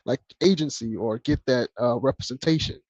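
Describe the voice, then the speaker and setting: Man, podcast guest, quiet and chill, dry close sound, a bit muffled. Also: moderate pace, no room sound. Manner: low-key, laid-back and soft-spoken. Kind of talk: casual chat.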